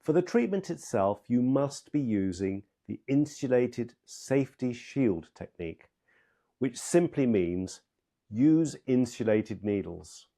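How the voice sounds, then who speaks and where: A man with a soft-edged, mellow voice at a middling pitch presents scripted instruction as a medical trainer. He speaks in a measured, step-by-step manner and leans firmly on key words. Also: very animated. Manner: emphatic, measured, step-by-step delivery.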